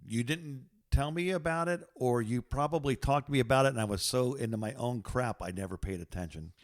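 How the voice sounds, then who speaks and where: Male co-host, sixties, average pitch, casual reminiscing and reactions with a self-deprecating streak. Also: fairly quick. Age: 60s. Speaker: podcast co-host.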